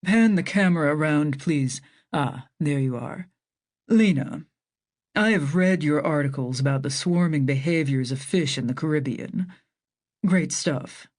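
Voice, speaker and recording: A confident male doctor speaks with a low, changed timbre, as a woman narrator puts him on. He is brisk and assured, quick to take over the talk in this audiobook reading. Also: darkish tone. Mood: eager. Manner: takes charge, interrupts.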